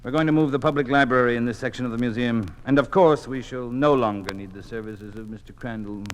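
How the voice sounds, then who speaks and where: An actor playing a cold, hardline ministry official in a radio drama, curt, formal and contemptuous. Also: male voice, mid-range voice, quick pace, a little hiss or hum, natural voice. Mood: coldly menacing. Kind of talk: 1950s radio drama acting.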